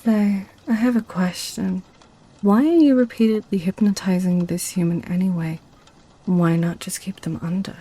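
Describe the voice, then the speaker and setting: A woman playing a playful, seductive succubus in an ASMR roleplay, with a breathy, whispery, coaxing delivery. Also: lowish voice, fairly even intonation, warm tone, close to an everyday voice. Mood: curious, coaxing. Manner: teasing, coaxing, slow. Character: playful, teasing female succubus, seductive and possessive.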